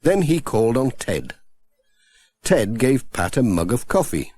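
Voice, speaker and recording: A man's voice: mid-range voice, very animated, measured pace, a little mellow, no echo. A man narrating a scripted children's story as an audiobook reader.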